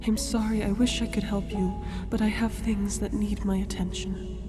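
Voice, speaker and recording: A calm goddess of the sun, acted by a woman, speaks formally with little rise or fall. Her mid-pitched voice is politely dismissive, with music playing behind it. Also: normal speed, lightly acted. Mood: polite refusal. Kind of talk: scripted fantasy audio drama.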